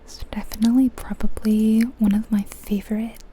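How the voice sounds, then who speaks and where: A woman whispering breathily in ASMR style, giving gentle, chatty commentary on a game as she goes. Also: mid-range voice, level tone, moderate pace, a little hiss or hum. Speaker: ASMR video host.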